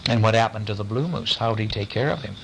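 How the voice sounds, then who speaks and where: A man's voice: fairly low pitch, fast talker, creaky, slight background noise, poor audio. A man reading a bedtime story aloud expressively, stopping to chat with and question a young listener. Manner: animated questioning, explains words to listener.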